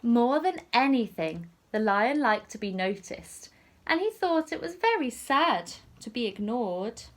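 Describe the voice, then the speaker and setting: A woman teacher reading a picture story aloud to young children in an expressive, deliberate storytelling manner. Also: on the high side.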